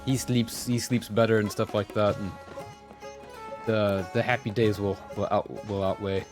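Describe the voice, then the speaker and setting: A man's voice: lowish voice, flat delivery, normal speed. A man doing casual live commentary as a game streamer, chatty and playful. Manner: playful, chatty, mild joke swearing. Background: game music.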